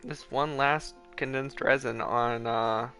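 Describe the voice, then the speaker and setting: A man's voice: mid-range voice, fairly even intonation, slow pace, pushed and bright. A man chatting casually and commentating as he livestreams a video game. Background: faint game music.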